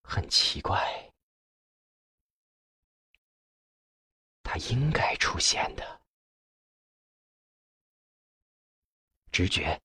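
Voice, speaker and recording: A man voicing a weary, stubbornly convinced older professor in an audiobook, speaking slowly in a hushed mutter. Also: deep voice, very animated, breathy edge, no echo, voice pushed down, full character voice. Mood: muttering conviction. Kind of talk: audiobook character dialogue.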